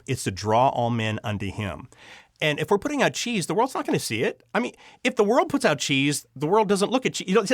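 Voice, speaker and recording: A man speaking as an interview guest in a conversational storytelling way, earnest and halting, often repeating words as he goes. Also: mid-range voice, dry recording, clean studio sound. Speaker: audio drama writer and producer, interview guest.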